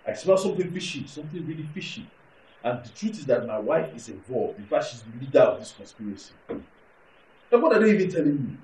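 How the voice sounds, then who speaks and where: Actor as hot-tempered Nigerian husband, wildly swinging pitch. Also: male voice, big pitch swings, brisk, a little mellow, natural voice, poor audio. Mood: agitated, suspicious. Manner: indignant, repetitive, rhetorical questions. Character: aggrieved, hot-tempered, entitled husband bound to tradition. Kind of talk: naturalistic film drama acting.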